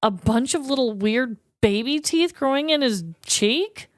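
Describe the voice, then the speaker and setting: A woman's voice: sits high, expressive, unhurried, no echo, studio quality. A woman with an American accent, chatting casually as a podcast co-host. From Missouri, United States. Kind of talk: casual chat.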